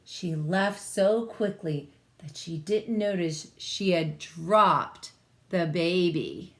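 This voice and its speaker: A woman teacher reading a picture book aloud to children, expressive and often putting questions to her listeners. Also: fairly low pitch, slow.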